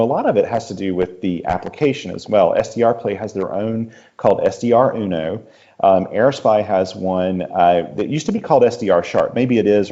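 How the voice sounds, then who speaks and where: A man's voice: lowish voice, dark tone. A man talking casually and enthusiastically as an interview guest, explaining things in detail. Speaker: podcast guest, radio enthusiast. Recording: slightly dull, lo-fi audio.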